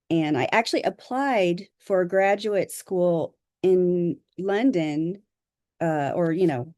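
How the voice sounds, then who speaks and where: A woman from Southern California, an author introducing herself on a video call, speaking modestly and haltingly with fillers. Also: on the low side, dark tone, no room sound, clean studio sound. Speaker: author presenting a workshop.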